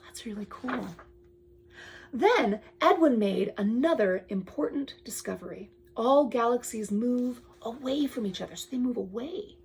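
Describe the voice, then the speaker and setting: A woman reading aloud to a child with chatty asides, expressive and full of wonder, often dropping to a whisper. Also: fairly high pitch, a little slow, a little hiss or hum, rough recording.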